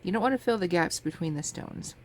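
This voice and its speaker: A sniffly woman hosting a casual craft tutorial, chatting in a relaxed way as she works at her table. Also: fairly low pitch, a little fast. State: sniffly from a cold. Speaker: crafting channel host. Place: home craft table with a fan running. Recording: faint fan noise in background.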